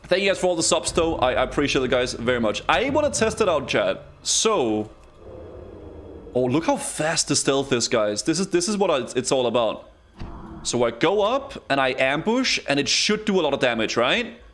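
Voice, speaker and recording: A man from Denmark, chatting excitedly with his viewers while he streams a game. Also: fairly high pitch, moderate pace, slight background noise. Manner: excitable, chatty, talks straight to chat. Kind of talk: casual live-stream commentary.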